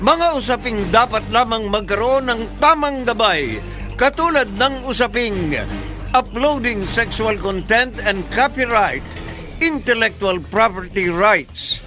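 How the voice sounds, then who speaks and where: A male radio drama announcer with a high, strongly animated voice over a clear music bed. He delivers scripted introductions in a dramatic style. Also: slow, low-quality recording.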